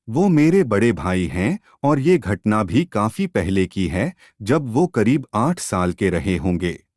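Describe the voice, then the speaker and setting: Slow, expressive low-voiced male storyteller. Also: dry recording, clean studio sound. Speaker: storyteller, narrator. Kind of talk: scripted ghost-story narration.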